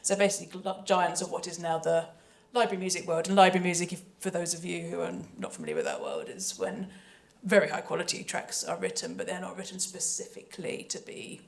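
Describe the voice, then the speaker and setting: A woman's voice: on the low side, animated, brisk, vocal fry, few pauses. A woman speaking on a panel as a composer and sound designer, chatty, hesitant and often interrupting herself. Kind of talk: panel talk about creative work.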